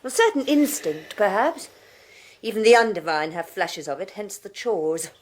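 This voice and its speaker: A wry British secretary, played by a woman in an old radio drama, clipped and sarcastic. Her pitch moves in lively swings. Faint hiss runs under the old broadcast. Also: moderate pace, lightly acted. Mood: sardonic. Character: dry, sardonic female secretary. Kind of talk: 1980s radio drama acting.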